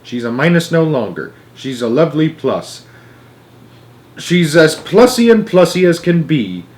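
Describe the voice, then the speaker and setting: An eccentric storybook candy maker acted out by a man reading aloud, breezy and theatrical. He talks with whimsical emphasis and an exclamatory lift, pitched somewhat above an ordinary male speaking voice. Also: big pitch swings, unhurried, a little mellow. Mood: gleeful.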